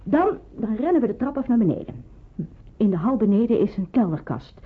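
An actress playing a businesslike woman in hiding who explains things briskly, in an old radio play. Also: on the high side, very animated, slightly rough. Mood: brisk, instructive. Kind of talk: Dutch radio play acting. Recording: muffled, narrow-band old radio recording.

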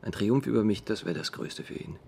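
An actor playing a troubled, self-reproaching father in a naturalistic radio drama monologue, speaking in a halting, brooding way. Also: on the low side, expressive, creaky, warm tone, a little hiss or hum.

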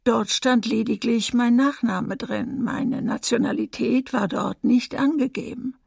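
An actress playing a grave woman survivor giving measured testimony in a documentary radio play. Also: fairly high pitch, creaky, darkish tone, husky, dry recording, studio quality, full character voice. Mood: grave, restrained.

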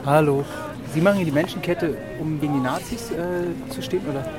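A man's voice: a little high, brisk, over music. A man asking casual, probing questions as a street interviewer. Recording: distant mic, street crowd.

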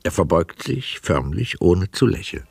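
A man's voice: low voice, big pitch swings, measured pace, vocal fry, darkish tone, husky, no echo. A man reading scripted narration for a radio drama.

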